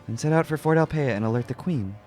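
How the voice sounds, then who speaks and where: A man's voice: medium pitch, fast, a little mellow, faint music behind, pitched above the performer's own voice, lightly acted. A man voicing a composed, guarded noblewoman spymaster in a video game, formal and coolly defiant.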